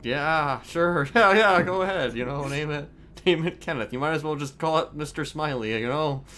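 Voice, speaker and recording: A man playing an eccentric, playfully creepy inmate in improvised online role-play, musing haltingly and repeating his phrases. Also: fairly high pitch, bright, forceful tone. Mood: teasing.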